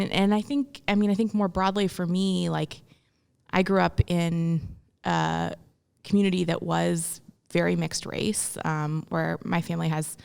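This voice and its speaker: A woman's voice: lowish voice, creaky, darkish tone. A young woman from Memphis, speaking reflectively as an interview guest, with frequent ums and restarts. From Memphis, Tennessee, US. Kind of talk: casual interview conversation.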